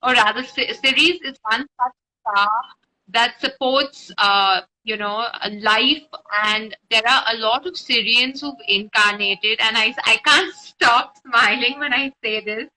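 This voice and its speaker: A woman's voice: fairly high pitch, unhurried, bright, forceful tone, poor audio. A woman spiritual guide talking informally and explaining things on a live stream, recorded on a phone with faint noise. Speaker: spiritual guide and meditation teacher.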